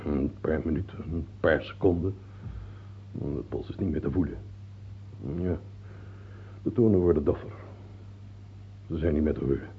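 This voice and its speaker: An actor playing a rational, unsentimental royal physician in a radio play, clinical and deferential, with a husky voice. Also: male voice, very deep voice, normal speed, long pauses, a little hiss or hum. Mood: detached. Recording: muffled narrow-band old radio recording.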